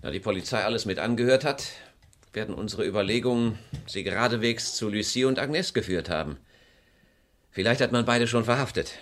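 An actor playing a cornered, indignant husband under suspicion in a German radio crime drama, terse and defiant. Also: male voice, on the low side, fairly quick, natural voice. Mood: wary.

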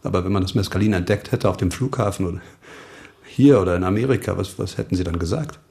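A man's voice: sits low, fast, a little mellow. A male actor playing a sober, probing and wryly sympathetic investigator in a radio crime drama, with a terse, dry delivery. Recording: music clearly behind the voice.

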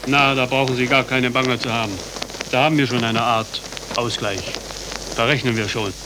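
A man playing a dry, unbudging, slyly knowing train conductor in a 1940s German radio drama, brisk and matter-of-fact. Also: medium pitch, steady tone, lightly acted. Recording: noisy 1940s radio recording.

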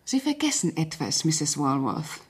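A cool schemer in a radio crime play. Her voice is slightly low with a husky edge. She speaks precisely and with quiet, controlled command. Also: big pitch swings, unhurried, heavily acted. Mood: cold, controlled. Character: cold, calculating murderess posing as helpful household friend. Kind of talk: German radio crime drama acting.

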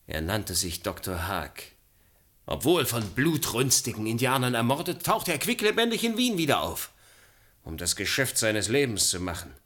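A man's voice: mid-range voice, sing-song, moderate pace, fairly bright tone. An actor playing an earnest, troubled man with a wry, sceptical streak, questioning others in a German radio play. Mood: dry irony. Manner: earnest, questioning, dryly ironic.

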